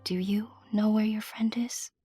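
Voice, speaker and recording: A woman giving scripted fairy-tale narration, addressing the listener with deliberate dramatic pauses. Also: mid-range voice, steady tone, dark tone, breathy edge, no room sound, studio quality. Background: music.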